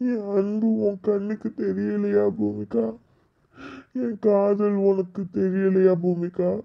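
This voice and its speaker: A man playing a heartbroken young man who pours out his grief slowly, his gravelly voice dragging over every word. He pleads and repeats himself with anguish, heard through a slightly dull, lo-fi recording. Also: sits high, level tone, exaggerated voice. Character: heartbroken young man lamenting lost love. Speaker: heartbroken lover. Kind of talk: dramatic monologue.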